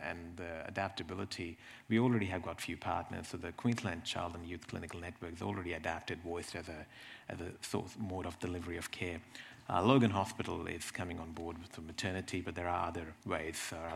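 A man giving a slide presentation, explaining things in a halting way with repeated words and asides. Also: low voice, gravelly, a little hiss or hum. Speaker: presenter from a health service.

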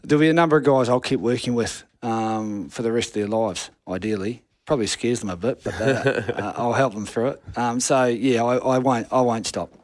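A man's voice: mid-range voice, moderate pace, few pauses, no echo. A middle-aged man with a New Zealand accent, a rugby coach answering interview questions frankly, with many restarts. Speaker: rugby assistant coach guest.

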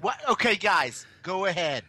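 A brash, crude surf boss rambles and boasts in a high, sharp, bright voice, as a man puts him on. The sound is dry and close. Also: animated, moderate pace, breathy edge, no echo, exaggerated voice. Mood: boastful. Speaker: caller on satellite phone.

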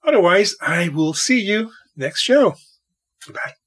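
A man hosting a solo music podcast late at night, with a raspy voice and an opinionated, rambling way of talking. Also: high voice, expressive, a little slow, a bit bright. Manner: opinionated, rambling, talks to himself. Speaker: podcast host. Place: home recording at night.

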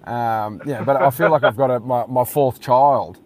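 A man's voice: medium pitch, normal speed. A man co-hosting a radio show from his car, teasing and chatty with lots of fillers. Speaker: co-host, rugby league player. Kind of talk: casual radio chat.

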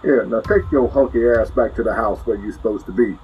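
A man playing a gruff, suspicious weed dealer in an audio drama, blunt and slangy. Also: on the low side, brisk, close to an everyday voice. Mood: grudging. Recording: dull sound, faint noise.

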